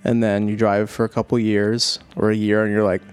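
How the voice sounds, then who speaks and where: A man hosting a podcast, chatting casually in an easygoing, halting way. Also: lowish voice, monotone, a little mellow, smooth, soft background music. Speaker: podcast host, musician.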